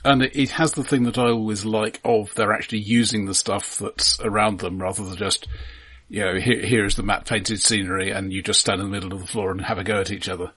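Bloke chatting on a film podcast, husky low voice, dry and wry. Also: male voice, steady tone, fairly quick, a bit bright. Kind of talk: casual conversational film discussion.

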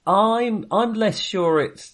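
A man co-hosting a casual film discussion, talking in a hesitant, halting way and circling back on his phrases. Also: sits high, fairly bright tone. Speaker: podcast co-host reviewing films.

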